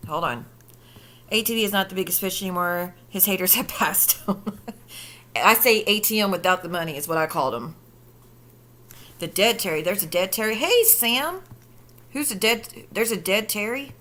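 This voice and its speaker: Bright-voiced sarcastic woman streamer. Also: mid-range voice, lively intonation, normal speed, pauses often. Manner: sarcastic, profane, incredulous. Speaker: commentary streamer. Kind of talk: reaction commentary stream.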